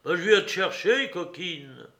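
A man voicing a hot-tempered, rough fairground fellow with blustering, colloquial speech in an audiobook novel. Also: sits high, normal speed, fairly bright tone, voice pushed up. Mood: angry, threatening.

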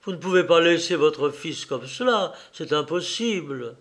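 A man's voice: on the high side, expressive, measured pace, a bit bright. Man playing an anguished, pleading young son. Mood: desperate pleading. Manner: pleading, agitated, dramatic. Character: anguished, fearful, sleepless young man pleading with his mother.

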